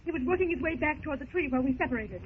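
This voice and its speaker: An actress playing an eager, plucky young adventure heroine in a 1930s radio serial. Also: female voice, sits high, expressive, rapid-fire. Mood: anxious, earnest. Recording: tinny, hissy 1930s radio recording.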